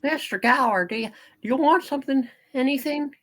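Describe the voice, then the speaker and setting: Woman playing an earnest, pleading, high-voiced young boy. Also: moderate pace, a bit bright. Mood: nervous, dutiful. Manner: stammering, pleading. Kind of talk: hammed-up amateur radio play reading.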